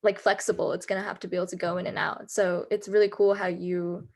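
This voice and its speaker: A woman's voice: on the low side, level tone, quick pace. Enthusiastic American teen girl playwright. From United States. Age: late teens. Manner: enthusiastic, explanatory, with fillers like "like". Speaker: student playwright. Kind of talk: casual discussion on a video call.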